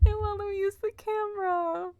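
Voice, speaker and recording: A woman's voice: very high-pitched, fairly even intonation, normal speed, mellow, no echo. A young adult woman giving casual, giggly, sweary livestream commentary over a game, rambling and exclaiming. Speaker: game streamer.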